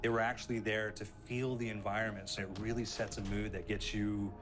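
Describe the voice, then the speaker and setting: Lower-voiced man in interview, music behind. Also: level tone, a little fast. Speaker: game developer. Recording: clear music bed behind.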